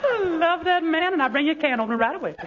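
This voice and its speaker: Man doing a high-voiced, sassy Southern housemaid. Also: animated, quick pace. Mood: amused, folksy. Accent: Southern American. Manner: folksy, drawling, playful punchlines. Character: cheerful, sassy Southern housemaid woman, easygoing and quick-witted. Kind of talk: 1940s radio comedy acting. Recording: noisy, muffled vintage radio recording.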